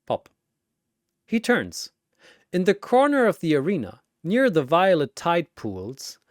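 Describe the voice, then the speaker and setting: A man tells an action story theatrically, in short suspenseful phrases. His delivery is slow with lively swings in pitch, and the recording is dry and close. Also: no room sound. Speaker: storyteller, narrator. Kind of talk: dramatic scripted action story narration.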